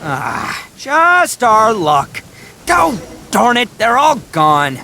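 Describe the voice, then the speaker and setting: A man's voice: high-pitched, wildly swooping pitch, drawn-out words, vocal fry, pushed and bright, a little hiss or hum, full character voice. A man voicing a boastful, good-hearted young oni gang boss in a video game, with a boisterous, slangy, theatrical delivery. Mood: dismayed. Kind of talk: animated video game voice acting.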